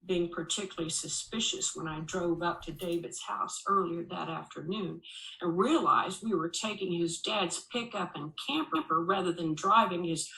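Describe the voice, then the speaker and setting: A woman reading a first-person memoir aloud as an audiobook, with expressive storytelling delivery. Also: fairly low pitch. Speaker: audiobook reader. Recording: echoey room.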